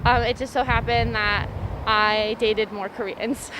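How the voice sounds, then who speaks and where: Casting: US female from Kansas, high-pitched, conversational interviewee, noticeable street noise. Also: slow. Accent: American. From Kansas, United States. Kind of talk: casual street interview answers. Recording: clear street traffic noise.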